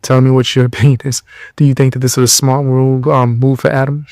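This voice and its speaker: A tired young man hosting a podcast, giving blunt, mocking commentary in loose slang with a low voice. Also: flat delivery, fairly quick, a little mellow, no room sound, clean studio sound. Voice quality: lower voice. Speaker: podcast host. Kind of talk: casual commentary.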